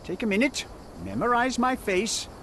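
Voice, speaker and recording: A man's voice: extremely high pitch, lively intonation, normal speed, fairly bright tone, a little hiss or hum. An actor playing a stern, proud male archaeology professor who lectures and commands in a video game. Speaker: dig site leader.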